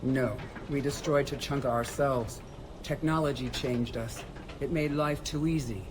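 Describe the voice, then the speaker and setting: An actor playing a stern, noble alien clan leader in a video game, speaking with weight and resolve. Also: male voice, mid-range voice, fairly even intonation, unhurried, background noise, lightly acted. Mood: reflective, grave. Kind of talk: video game character acting.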